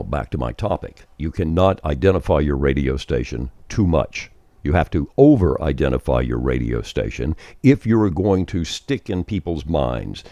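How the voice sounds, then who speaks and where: Older male, extremely low voice, mellow tone, folksy radio veteran, informal storytelling lecture. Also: gravelly, no room sound. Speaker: veteran radio programmer and former disc jockey presenting a series. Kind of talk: informal instructional monologue.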